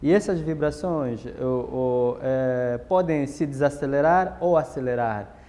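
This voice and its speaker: Angolan man, documentary interviewee, mellow, reverberant room. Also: mid-range voice. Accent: Angolan Portuguese. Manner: measured, pauses with fillers and restarts. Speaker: interviewee explaining a ritual. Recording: reverberant room, faint background noise.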